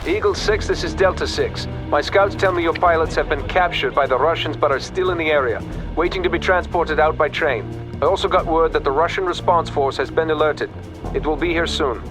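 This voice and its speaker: An actor playing a businesslike allied officer making formal, precise radio reports in a video game. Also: male voice, on the high side, brisk, music in the background, lightly acted. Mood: serious, reporting.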